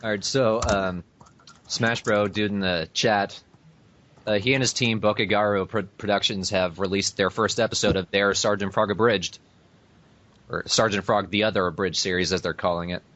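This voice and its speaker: A man's voice: lowish voice, steady tone, moderate pace, fairly bright tone. A man co-hosting a fan podcast in casual chat over a voice call. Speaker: podcast co-host. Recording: dull lo-fi internet call.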